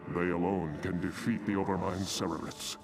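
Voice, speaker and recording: A man voicing a calm, honorable alien commander in a video game, speaking in a solemn, measured and formal way. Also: low voice, over music, lightly acted. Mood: earnest, firm. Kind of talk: theatrical video game voice acting.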